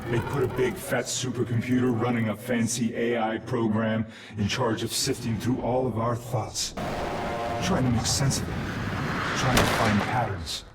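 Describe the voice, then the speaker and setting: An actor playing a grim, disillusioned soldier in a video game, explaining things bitterly. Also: male voice, mid-range voice, steady tone, normal speed, natural voice. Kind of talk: dramatic video game dialogue. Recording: distant, echoey, loud vehicle noise.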